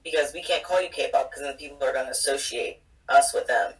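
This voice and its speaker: A woman's voice: very deep voice, fairly quick. Woman guest, 30s mom, blunt anecdotes, set back from the mic. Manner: blunt, animated storytelling. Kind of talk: casual chat. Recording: distant mic.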